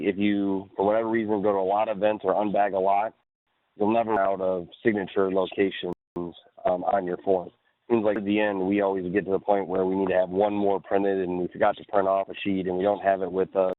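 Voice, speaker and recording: A man's voice: deep voice, no room sound. A man casually explaining practical steps as a mentor on a group call, conversational and checking in as he goes. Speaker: team mentor presenting advice. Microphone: phone call. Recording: narrow phone conference line, radio-like.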